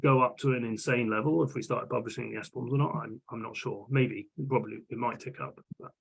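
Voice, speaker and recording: A man's voice: medium pitch, fairly even intonation, brisk, creaky, slightly rough, rough recording. A man talking casually in an online technical meeting, hesitant, with many restarts and fillers. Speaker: vulnerability management working group lead. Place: online video call.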